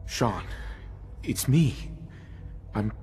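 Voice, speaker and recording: A man playing a tender, anguished father in a video game cutscene, speaking haltingly and with emotion. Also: mid-range voice, expressive, a little mellow. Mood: tender, overwhelmed. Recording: game audio under clear music.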